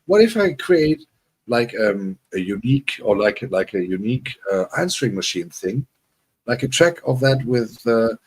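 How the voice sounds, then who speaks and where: A man's voice: mid-range voice, big pitch swings, normal speed, breathy edge. A man from Germany, hosting a casual livestream chat and rambling with many restarts and self-deprecating asides. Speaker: livestream host.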